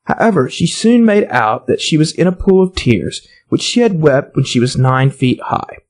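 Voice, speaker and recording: Animated, high-voiced American male storyteller. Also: normal speed. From United States. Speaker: audiobook narrator. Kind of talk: children's storybook narration.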